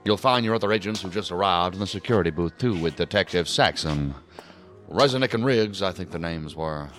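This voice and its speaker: An actor playing a nervous, apologetic rookie security officer in a science fiction audio drama, flustered and deferential. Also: male voice, deep voice, brisk, a bit bright, soft background music.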